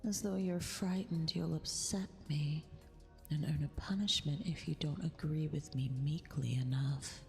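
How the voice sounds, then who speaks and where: A woman's voice: low-pitched, steady tone, a little slow, a little mellow, long reverb tail, soft background music. A woman playing a vain, cruel but affectionate eldritch empress in an intimate, half-whispered ASMR roleplay, haughty and teasing. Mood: haughty, menacing.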